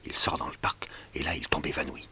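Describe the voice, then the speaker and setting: An actor in a 1960s French radio drama playing a secretive kidnapper who gives cold, hushed orders. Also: male voice, a bass voice, steady tone, brisk, husky, exaggerated voice. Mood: cold, conspiratorial. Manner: hushed, conspiratorial whispering.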